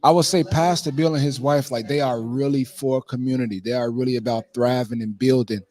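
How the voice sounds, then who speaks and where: A man's voice: medium pitch, steady tone, fairly quick, dark tone. A man being interviewed casually as a playwright and producer, talking informally and punctuating his thoughts with "man" and "you know".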